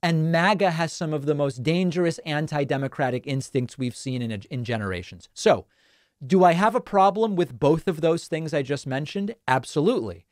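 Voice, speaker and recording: Measured male talk show host. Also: fairly high pitch, lively intonation, no echo, clean studio sound. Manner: measured, explanatory, lists points methodically. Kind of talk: call-in political talk show. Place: studio. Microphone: studio broadcast mic.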